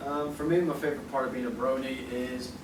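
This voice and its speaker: A man's voice: mid-range voice, fairly even intonation, fast talker. A young British man chatting casually on a panel, rambling, jokey and sweary. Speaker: convention panelist, amateur audio drama voice actor. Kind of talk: casual unscripted panel chat. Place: convention panel room, indoors. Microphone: distant camera microphone. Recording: roomy, noisy, distant convention room recording.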